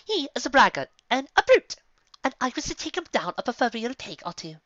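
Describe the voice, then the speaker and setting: Woman voicing a haughty, indignant lady unicorn, high-pitched. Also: very animated, fairly quick, fairly bright tone, no room sound, dull audio. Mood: composed, proud. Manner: haughty, theatrical, emphatic. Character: refined, indignant female unicorn, fierce and proud.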